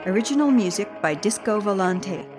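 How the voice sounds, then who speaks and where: A woman with a mid-pitched voice reads scripted podcast credits. Music plays clearly behind her as she makes the announcement. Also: expressive, a little fast.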